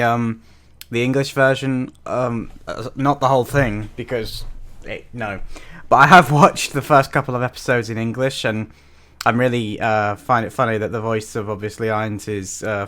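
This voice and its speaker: A man's voice: mid-range voice, fairly even intonation, normal speed, fairly bright tone. A man hosting a reaction video with casual, amused, rambling off-the-cuff commentary.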